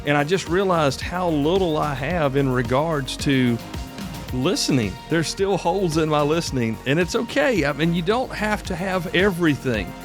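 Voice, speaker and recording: A man's voice: on the high side, expressive, moderate pace, over music. An American man of about fifty hosting a podcast solo, talking casually in a folksy, rambling, reassuring way. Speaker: podcast host.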